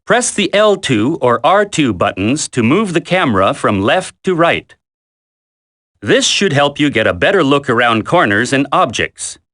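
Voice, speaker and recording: An actor playing a military instructor in a video game tutorial, coaching a recruit in a firm, deliberate, commanding way. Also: male voice, medium pitch, very animated, a bit bright. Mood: instructive, firm.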